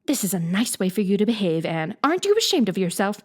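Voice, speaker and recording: A woman's voice: mid-range voice, big pitch swings, fast talker, a little mellow, slightly rough. A woman voicing a strict middle-aged guardian who speaks severely and dryly. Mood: scolding. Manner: severe, dry.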